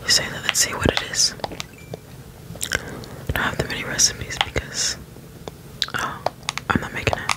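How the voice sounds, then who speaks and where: A woman whispering soft, rambling ASMR commentary over gameplay in an unhurried way. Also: poor audio. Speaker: let's play streamer.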